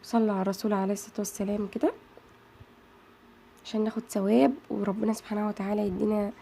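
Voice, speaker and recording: A woman's voice: mid-range voice, little pitch movement, racing, gravelly, dark tone, slight background noise. A woman with an Egyptian Arabic accent hosting a chatty craft tutorial and talking straight to her viewers. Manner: chatty, addresses viewers as girls. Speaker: craft channel host.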